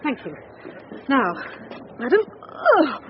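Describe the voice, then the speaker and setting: An actress playing a brisk, sensible British police detective in a radio drama, wry and firm. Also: female voice, high voice, very animated, heavily acted. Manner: firm, wry, matter-of-fact. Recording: dull radio sound with background noise.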